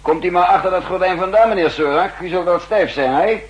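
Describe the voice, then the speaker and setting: An actor playing a shrewd, self-assured chief of counter-espionage in a 1960s radio drama, pedantic, dryly ironic and in command. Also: male voice, high-pitched, brisk, breathy edge. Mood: wry, teasing. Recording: old 1960s radio recording.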